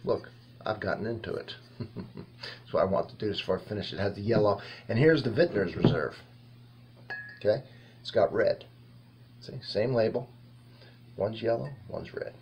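A man in his fifties giving a casual, halting tasting review to camera, rambling and thinking aloud in a small indoor room. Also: lowish voice, highs cut off, a little hiss or hum. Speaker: wine reviewer and host.

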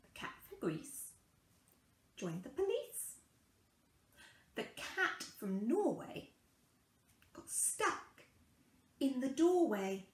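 A young woman reading a children's picture book aloud in a deliberate, sing-song way with dramatic pauses, recorded in a small room at home. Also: high-pitched, sing-song, reverberant. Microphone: camera a couple of metres away.

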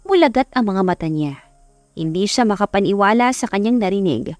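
A woman's voice: medium pitch, animated, brisk, a little mellow, no room sound. A woman reading a scripted romance story as an audiobook narrator. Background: background music.

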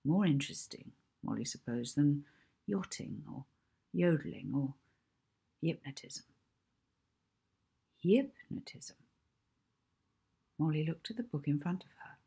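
A woman's voice: sits low, expressive, measured pace, creaky, pauses often, warm tone, husky. A woman, narrating a children's novel expressively. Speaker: author reading own children's novel.

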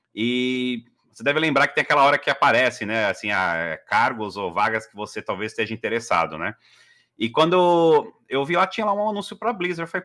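A man's voice: medium pitch, pushed and bright. A man, telling his story as a guest on a video call, chatty with many fillers. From Brazil. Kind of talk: casual interview storytelling. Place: living room at home.

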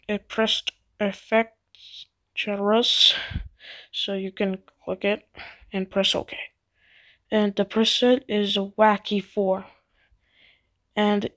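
A woman giving a casual software tutorial in halting, step-by-step instructions. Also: medium pitch. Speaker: tutorial host. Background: voice-over to a screen recording.

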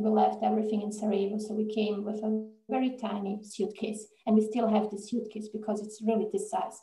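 Woman, 40s, soft mellow tone, relaxed storytelling, roomy video-call sound. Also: on the high side. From Bosnia, with years in Mexico and Austria. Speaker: interview guest, professor. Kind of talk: conversational personal storytelling. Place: indoor room on a video call. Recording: echoey room on video call.

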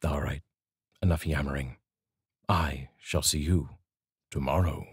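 Very deep, husky middle-aged man. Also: fairly even intonation, unhurried, dry recording, studio quality. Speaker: poetry reader, voice artist. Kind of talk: poetry reading with casual introduction. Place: small dark indoor studio. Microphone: large studio microphone, close.

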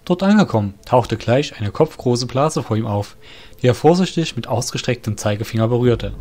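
A man's voice: medium pitch, lively intonation, moderate pace, darkish tone. A man narrating a scripted fantasy story in formal, literary phrasing. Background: music.

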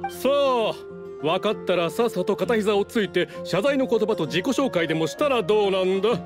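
An actor playing a haughty descendant of fallen aristocrats in a video game, speaking in a pompous, scornful, lordly manner. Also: male voice, high-pitched, animated, normal speed, over music. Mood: indignant, haughty. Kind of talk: theatrical video game dialogue.

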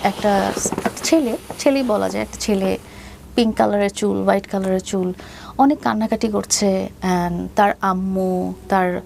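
A woman giving a personal account as an interview guest, pausing often and mixing in many English words. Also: medium pitch, fairly even intonation, vocal fry, background noise. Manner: hesitant pacing, heavy mixing of English words.

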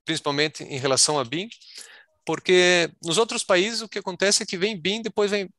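A man's voice: high-pitched, fairly even intonation, normal speed, sharp tone, no echo, clean studio sound. A Brazilian man answering as a panelist on a video call, explaining at length and often repeating words as he searches for them.

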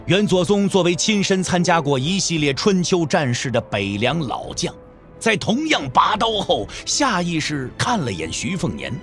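A man's voice: fairly high pitch, a bit bright. A man giving dramatic scripted narration for an audio drama.

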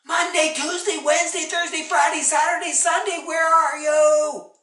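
A woman voicing an anxious storybook mother who calls for her children in a singsong that rises to shouts. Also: mid-range voice, very animated, slow, voice pushed up, full character voice. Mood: frantic, distressed. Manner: singsong calling, rising to shouts. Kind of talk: dramatic oral storytelling. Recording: echoey room, distant microphone.

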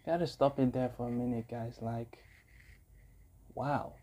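A man's voice: medium pitch, fairly even intonation, a little slow, warm tone. A young man chatting casually as he reacts to a song, upbeat and full of fillers, recorded close up on an earphone mic in a small room. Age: young adult. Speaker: music reaction vlogger. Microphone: earphone mic, phone camera close up.

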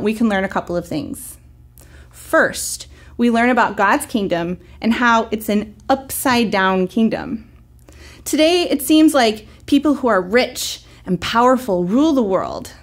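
A woman in her thirties teaching a children's church lesson to camera, gentle, clear and encouraging. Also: fairly high pitch.

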